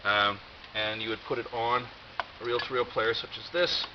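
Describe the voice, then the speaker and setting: An American man giving a casual, rambling show-and-tell with wry, deadpan humour and a halting delivery. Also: mid-range voice. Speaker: video host. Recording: dull lo-fi audio, loud background noise.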